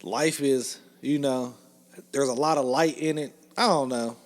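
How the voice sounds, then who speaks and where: A man's voice: mid-range voice, fairly even intonation, measured pace. A man in his mid-thirties co-hosting a podcast, rambling in casual chat, joking, and often tagging sentences with "you know what I'm saying". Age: 30s. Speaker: podcast co-host.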